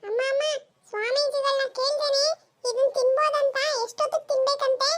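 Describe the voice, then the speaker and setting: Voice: very high-pitched, steady tone, a little fast, fairly bright tone. A performer voicing a silly, gullible young schoolboy with a childish, earnest way of talking in a comedy cartoon. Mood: whiny.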